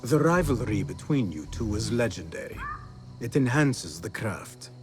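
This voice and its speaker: An actor playing a polite, measured young assassin from medieval Baghdad in a video game, speaking formally. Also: male voice, lowish voice, big pitch swings, music in the background. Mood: courteous, smooth. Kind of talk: video game cutscene acting.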